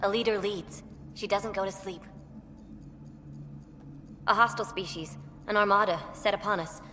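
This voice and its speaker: A woman's voice: mid-range voice, fairly even intonation, moderate pace, pushed and bright, soft background music, lightly acted. A woman voicing a proud, troubled female alien leader in a video game. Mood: bitter, troubled. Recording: reverberant hall with faint noise.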